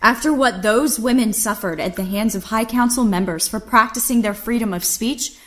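A woman's voice: fairly high pitch, moderate pace, fairly bright tone, close to an everyday voice. A woman playing a defiant, righteous disgraced captain with impassioned, theatrical indignation in an audio drama. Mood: indignant.

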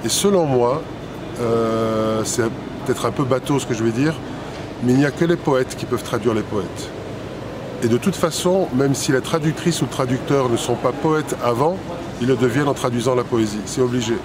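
A man's voice: medium pitch, normal speed. Hesitant man in his fifties outdoors. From Italy and Belgium. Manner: thoughtful, many hesitations and repeated words. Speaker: poet and publisher. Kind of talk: street interview answers. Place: city square outdoors, traffic nearby. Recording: outdoor street noise.

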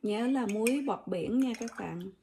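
A woman's voice: fairly high pitch, measured pace, gravelly, mellow, a little hiss or hum. A woman with a Southern Vietnamese accent giving a chatty, step-by-step kitchen demonstration and talking straight to her viewers. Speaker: cooking vlogger. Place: home kitchen, indoors. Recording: kitchen clatter of dishes and utensils.

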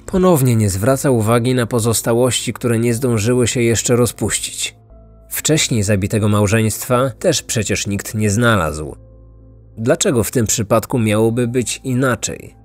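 A man narrating a scripted true-crime story as a podcast host, with dramatic, measured phrasing. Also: mid-range voice. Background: music.

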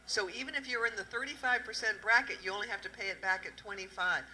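A middle-aged woman with a Southern US accent, a tax accountant giving a lively talk at an indoor event and quizzing the audience through a handheld microphone. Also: mid-range voice, quick pace, a little hiss or hum, poor audio. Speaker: tax accountant presenting at a panel. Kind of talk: interactive talk to a live audience.

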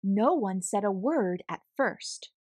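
A woman reading a picture book aloud to children in an expressive, deliberate, theatrical style. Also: mid-range voice, no echo, clean studio sound. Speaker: children's story reader.

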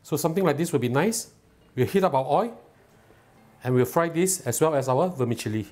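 A middle-aged man hosting a cooking show, explaining each step calmly and patiently in a home kitchen. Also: fairly high pitch, a little fast. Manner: calm, patient and instructive, enthusiastic when tasting.

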